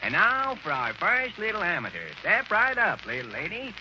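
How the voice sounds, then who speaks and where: A man's voice: sits high, huge pitch swings, moderate pace. A man impersonating a genial amateur-hour radio host with a showman's drawl, in 1930s radio comedy style. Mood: genial showmanship. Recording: noisy, dull 1930s radio recording.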